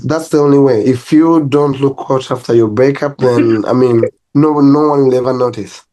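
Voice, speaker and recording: A man's voice: medium pitch, moderate pace, low-quality recording. A young Ugandan man with a Ugandan accent, a personal trainer chatting easily as an interview guest, laughing between stories. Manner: relaxed storytelling with laughs and restarts. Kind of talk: casual interview.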